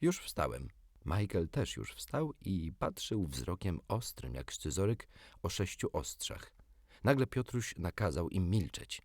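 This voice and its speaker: Low-voiced, animated male storyteller. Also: unhurried, no echo, studio quality. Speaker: audiobook narrator. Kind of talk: expressive scripted storybook narration.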